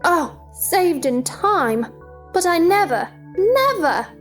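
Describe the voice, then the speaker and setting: A woman's voice: very high voice, big pitch swings, slow, soft background music, heavily acted. A woman playing a polite, curious, bewildered seven-year-old girl in a theatrical audio drama, earnest and wondering. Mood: distressed.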